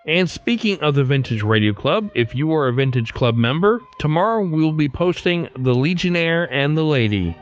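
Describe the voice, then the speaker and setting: A man's voice: on the high side, animated, normal speed, few pauses, soft background music. A man hosting a podcast, reading scripted remarks straight to his listeners. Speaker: podcast host. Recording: slightly dull podcast audio.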